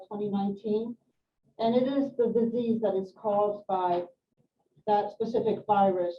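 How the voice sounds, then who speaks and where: Middle-aged woman, nurse educator presenting to a room, chatty with fillers; distant, dull recording in an echoey room. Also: mid-range voice. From Sweden and France. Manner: chatty, informal, many fillers and asides. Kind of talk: live presentation to an audience. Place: hospital meeting room. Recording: echoey room, distant mic, dull sound, faint noise.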